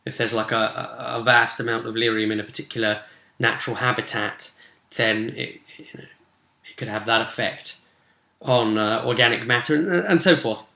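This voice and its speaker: A man's voice: medium pitch, moderate pace, vocal fry, pushed and bright. A man giving a casual, rambling and enthusiastic recap as a tabletop game master. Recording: roomy echo.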